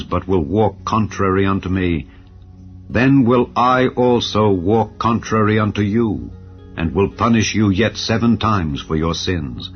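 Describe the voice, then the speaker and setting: Male narrator, very low pitch, solemn measured scripture reading; muffled audio, quiet music bed. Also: slightly rough. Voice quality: deep, measured and grave. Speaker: scripture reader. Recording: dull audio with soft music. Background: soft ambient music.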